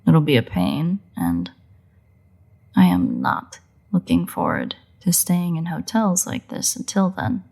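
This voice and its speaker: A woman playing a playful, sardonic, bloodthirsty but secretly caring vampire in a roleplay audio drama, with wry, husky, often breathy delivery. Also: deep voice, huge pitch swings, darkish tone, heavily acted. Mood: brisk, matter-of-fact. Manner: wry, teasing, unhurried delivery with pauses.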